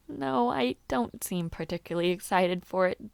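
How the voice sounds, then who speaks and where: Woman acting a shy, soft-spoken teenage noble girl, close-up. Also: medium pitch, animated, a little slow, gravelly, no echo. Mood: playful, self-deprecating. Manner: hesitant, stammering, softly earnest. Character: gentle, modest teenage noblewoman in a fantasy world. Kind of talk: fantasy audio roleplay acting, intimate one-sided dialogue.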